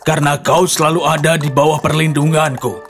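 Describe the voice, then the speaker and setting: A strong, kindly sorcerer from a storybook, played by a man. He sounds commanding and protective, in a moderately high male voice over soft music. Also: fairly even intonation, fairly quick, lightly acted. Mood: reassuring. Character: tall, strong, kindly sorcerer master of a haunted castle.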